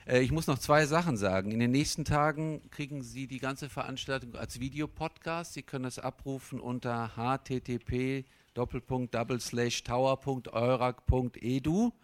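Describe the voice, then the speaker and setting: Man, moderator of a live panel, mid-range voice, chatty and brisk. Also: medium pitch, fairly even intonation. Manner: brisk, chatty, stumbles now and then.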